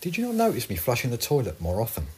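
A woman acts an unflappable survivor in a comic audio drama. The voice sits extremely low and is heavily rasped, with lively pitch movement under a deadpan, practical delivery. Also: fast talker, exaggerated voice. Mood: deadpan, matter-of-fact. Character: pragmatic, unbothered zombie apocalypse survivor, drily explaining scavenging. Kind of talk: indie audio comedy drama acting.

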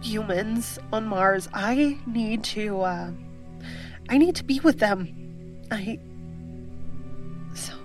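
Actress as isolated female survivor, audio drama monologue. Hesitant, unhurried delivery, clear music bed underneath. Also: mid-range voice, expressive. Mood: weary longing. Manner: halting, hesitant. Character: lonely stranded woman, perhaps the last human.

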